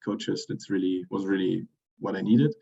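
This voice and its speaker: A young man with a deep, flat and gravelly voice answers interview questions, pausing often with "um" and restarting his sentences. His tone is dark and mellow, and the recording sounds a bit dull and lo-fi. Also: crowd noise. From Germany. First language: German. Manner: hesitant, many fillers and restarts. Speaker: interview guest. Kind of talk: casual interview.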